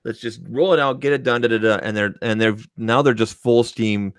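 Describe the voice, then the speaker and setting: A man's voice: medium pitch, steady tone, fairly quick, no echo. A man co-hosting a tech podcast in casual chat, emphatic and opinionated, often tacking on "okay" as he makes his points into a studio microphone.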